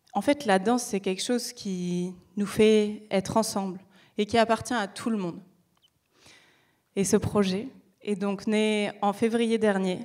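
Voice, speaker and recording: A young woman founder giving a prepared stage talk, measured, with rhetorical questions and light humor. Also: medium pitch, darkish tone. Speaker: project founder presenting.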